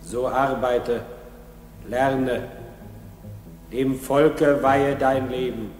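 An actor playing a solemn official who leads an oath ceremony with measured declamation in a 1960s radio play. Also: male voice, medium pitch, fairly even intonation, a little mellow. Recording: reverberant hall with music.

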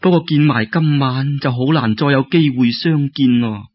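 A man voicing a timid, gentle young maiden with a Cantonese accent, hesitant and polite, in a told folk tale. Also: mid-range voice, normal speed, dry recording, close to an everyday voice. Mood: wistful, anxious. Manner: hesitant, polite, soft-hearted. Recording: dull lo-fi radio-like recording.

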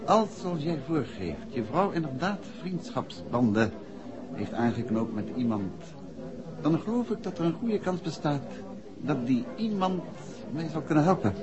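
An actor playing a composed, courteous and determined detective in a 1960s radio play. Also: male voice, on the high side, very animated, measured pace, crowd noise. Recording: dull, noisy old radio recording.

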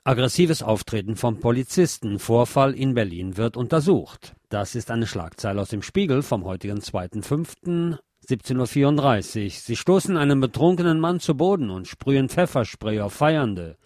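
A man hosting a radio show, presenting commentary and reading news aloud in a deliberate way with pauses. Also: medium pitch, animated, a little mellow, no echo, studio quality.

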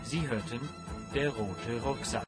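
Announcer for radio drama: man, medium pitch, scripted title and recap read over music. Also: takes it slowly.

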